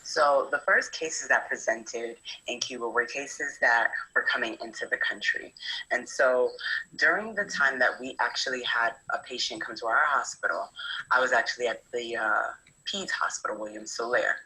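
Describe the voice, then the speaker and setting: A woman's voice: rumbling low, normal speed, pushed and bright, low-quality recording. A young adult woman from New Jersey, a medical student intern, methodically explaining a hospital's procedures with frequent fillers. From New Jersey, USA. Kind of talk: prepared talk from experience.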